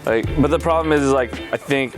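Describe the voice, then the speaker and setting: A man's voice: medium pitch, fast. Brief: laid-back male co-host who rambles, mid voice, music under it. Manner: rambling, lots of fillers. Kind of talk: casual chat.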